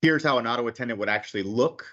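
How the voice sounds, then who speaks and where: A man presenting a software product walkthrough in a webinar, talking casually with frequent "okay" checks and filler words. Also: medium pitch, racing. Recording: dull lo-fi webinar audio.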